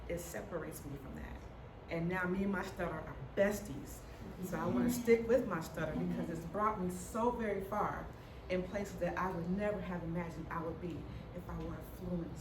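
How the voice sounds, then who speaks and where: A middle-aged woman who stutters tells her own story to an audience in a candid, humorous way. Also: on the low side. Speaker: speaker telling her story. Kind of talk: personal story talk to an audience. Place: hall before an audience. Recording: roomy sound from a distant mic with noise.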